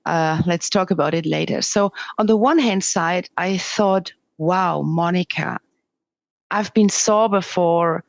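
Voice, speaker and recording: A middle-aged woman with a Swiss German accent, speaking English as a second language, talking candidly as a podcast guest over a remote call with halting restarts. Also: on the low side, no echo, muffled recording. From Switzerland. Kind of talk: interview conversation.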